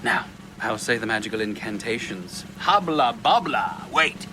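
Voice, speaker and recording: A man's voice: lowish voice, expressive, a little slow, noisy background. A man playing a flamboyant, bumbling swindler of a street magician with theatrical showman patter in a comic audio drama. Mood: showy.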